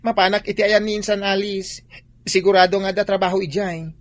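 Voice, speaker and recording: A woman's voice: mid-range voice, flat delivery, fairly quick, natural voice. A woman with an Ilocano accent acting a timid, plaintive, effeminate son in a radio drama. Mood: subdued, reluctant. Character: tearful, timid effeminate son, mistreated by father.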